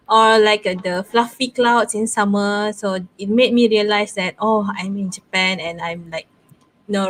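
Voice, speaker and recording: Malaysian woman in her thirties, PhD guest on headset mic, earnest and reflective, mid pitch. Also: fairly bright tone. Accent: Malaysian English. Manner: thoughtful, earnest, many fillers. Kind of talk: interview answer. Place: small room, video call. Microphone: headset with desk mic.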